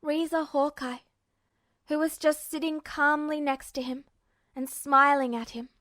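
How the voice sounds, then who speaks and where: A woman reads fan fiction aloud as a podfic narrator, in a soft and even telling. Her voice is high, and the recording is dry and close with no room sound. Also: a little slow, no room sound. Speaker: podfic reader.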